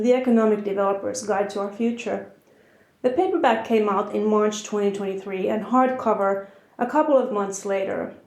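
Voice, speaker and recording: A woman with a Finnish accent hosts a podcast from her house, talking in a measured, earnest way with a light conversational touch. Her medium-pitched voice carries a noticeable room echo. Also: darkish tone. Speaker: podcast host. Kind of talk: podcast intro and interview. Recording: roomy echo.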